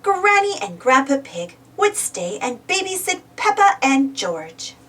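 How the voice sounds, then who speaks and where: Slow, very animated high-voiced woman storyteller. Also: far from the mic. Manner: expressive, deliberate storytelling for young children. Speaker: children's storybook narrator. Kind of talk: read-aloud picture book narration.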